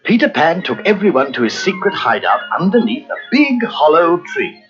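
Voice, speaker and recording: Children's story narrator: male, lightly high voice, very animated and dramatic, muffled cassette with music under him. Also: moderate pace.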